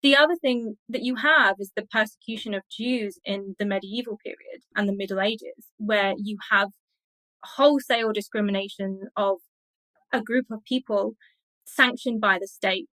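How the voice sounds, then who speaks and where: A woman's voice: mid-range voice, fairly bright tone, dry recording, poor audio. A woman speaking as an academic guest in an interview, explaining things in a thoughtful, scholarly way.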